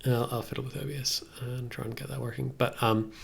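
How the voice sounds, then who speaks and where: A man's voice: medium pitch, level tone, a little fast, gravelly, husky. A young man chatting casually through a tutorial on a livestream, thinking aloud in a tentative way as he works. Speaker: music production livestreamer. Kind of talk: casual tutorial chat while working. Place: indoors at a computer desk.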